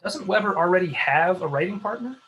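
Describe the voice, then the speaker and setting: Male, actor as sensible, fed-up staffer in a comedy read; higher, lively pitch, sarcastic, background noise. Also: a little fast, rough recording. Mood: stern. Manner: dry, sarcastic. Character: exasperated, sensible male talk show staffer. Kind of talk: comedy script table read.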